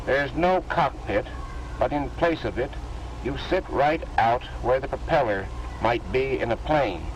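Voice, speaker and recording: A man's voice: medium pitch, expressive, unhurried, a little hiss or hum, poor audio. An American man narrating a scripted 1930s newsreel in a jaunty, folksy, wisecracking manner on an old film soundtrack.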